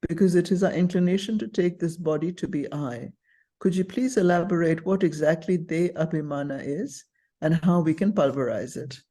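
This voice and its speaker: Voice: mid-range voice, mellow. A person on a video call, reading out a question hesitantly.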